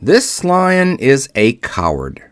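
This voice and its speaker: A man's voice: mid-range voice, wildly swooping pitch, slow, dry recording, clean studio sound. A man voicing a friendly, eager male scarecrow in a storybook reading.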